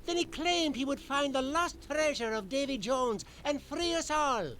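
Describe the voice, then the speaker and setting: A very high-pitched pirate shopkeeper for an adventure game. The voice creaks and swoops up and down, full of salty dialect, with faint noise behind it. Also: male voice, expressive, unhurried, a bit bright, exaggerated voice. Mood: wistful, remorseful. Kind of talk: cartoonish game character acting.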